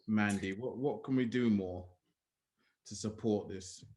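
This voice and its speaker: A man with a fairly low voice, putting gentle, measured questions to an interview guest. His delivery is calm and unhurried.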